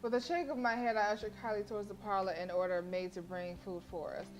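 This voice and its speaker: A woman's voice: mid-range voice, steady tone, quick pace. A woman game streamer reading story text aloud expressively, with playful casual asides. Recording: faint game music behind. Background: game music.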